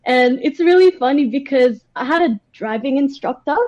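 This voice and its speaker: A woman with an Australian accent speaks in a fairly high, mellow voice with a slightly dull recording quality. She chats casually as an interview guest, telling personal stories in a talkative, informal way. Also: normal speed. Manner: chatty, animated retelling with acted-out quotes and frequent "like".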